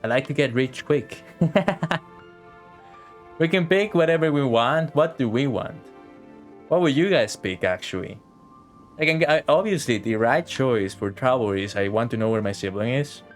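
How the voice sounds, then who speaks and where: Male game streamer: wry, casual commentary, average pitch, soft music underneath. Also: animated, unhurried. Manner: wry, sceptical, chatty. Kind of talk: casual live gameplay commentary.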